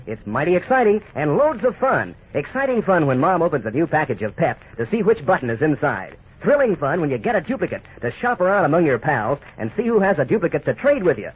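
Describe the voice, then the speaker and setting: A man's voice: fairly high pitch, sing-song, quick pace, few pauses, husky. A man with an American accent delivering a 1940s radio commercial in an enthusiastic, folksy manner as announcer. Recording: tinny, narrow 1940s radio recording.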